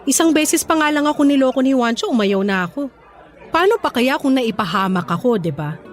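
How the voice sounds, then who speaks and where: A young woman voicing a supportive girlfriend in casual, expressive radio drama dialogue. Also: high-pitched, fairly quick, a faint crowd. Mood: sympathetic. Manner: expressive, casual, sympathetic, code-switching into English. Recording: faint music under dialogue.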